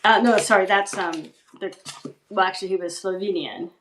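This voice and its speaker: A woman guest speaking reflectively about her writing and family history, often pausing and restarting. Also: mid-range voice, fairly bright tone, echoey room, slight background noise, low-quality recording. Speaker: guest writer. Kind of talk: casual interview chat.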